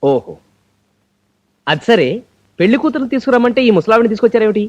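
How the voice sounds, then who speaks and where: An actor playing a blunt, bossy groom scheming to marry a dying bride. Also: male voice, sits high, sing-song, quick pace, a little mellow, highs cut off.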